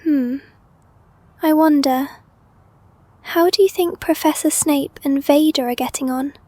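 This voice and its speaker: A woman's voice: high-pitched, fairly even intonation, measured pace, dry recording. A woman playing a polite, curious and caring young medical assistant in an audio drama. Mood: gently curious. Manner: polite, gently inquisitive.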